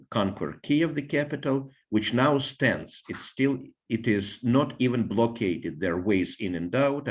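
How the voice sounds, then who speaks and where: Older man with a Russian accent. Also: medium pitch. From Soviet Union, Russia (Siberia) and Ukraine. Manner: measured, with many fillers and restarts. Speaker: interview guest, biologist. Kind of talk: conversational interview answers.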